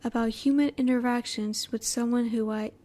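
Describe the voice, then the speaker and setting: A woman voicing a curious, reserved female alien scientist in a roleplay audio, speaking politely in formal, measured, halting phrases. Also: a little high, steady tone, mellow, natural voice. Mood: apologetic, formal. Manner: formal, measured, precise wording with halting pauses. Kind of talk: scripted roleplay audio, spoken intimately to the listener.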